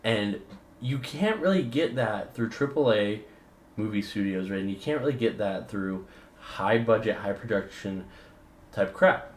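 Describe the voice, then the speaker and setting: A man's voice: on the low side, a little slow. A man giving a casual, opinionated video essay, talking emphatically and informally with slang in a rambling way. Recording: roomy echo with background noise.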